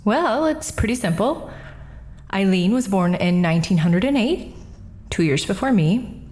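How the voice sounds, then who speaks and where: A woman's voice: medium pitch, animated, measured pace, a little mellow, lightly acted. An actress playing a brash, defensive young woman questioned at an inquest, talking in breezy slang in a radio drama. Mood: defiant.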